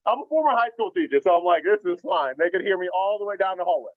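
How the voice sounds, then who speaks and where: A man giving a conference keynote with a loud, energetic voice, joking and inviting the audience to take part. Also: high-pitched, quick pace, no room sound, phone-quality sound. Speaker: keynote speaker, nonprofit founder.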